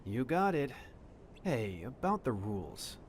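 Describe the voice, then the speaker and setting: A man's voice: medium pitch, big pitch swings, measured pace, slight background noise. A man playing a cool, laid-back, wry young man in an anime-style game fan dub.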